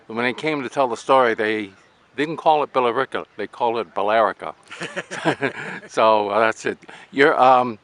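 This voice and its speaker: A man's voice: mid-range voice, a bit bright. Older male, middle register. Informal roving interviewer for a hobby event, talkative storyteller. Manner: chatty, anecdotal. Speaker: amateur radio interviewer. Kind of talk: casual on-the-spot interview. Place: outdoors at a radio swap meet.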